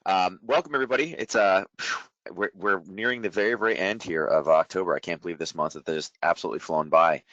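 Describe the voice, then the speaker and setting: A middle-aged man presents an informal trading webinar in a low, somewhat strained voice. He moves quickly through his explanations in a chatty way. The sound is dry and close, with a dull lo-fi quality. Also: no room sound. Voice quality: voice deeper than usual from shouting. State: strained voice after a day of loud coaching. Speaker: trading educator hosting a market breakdown.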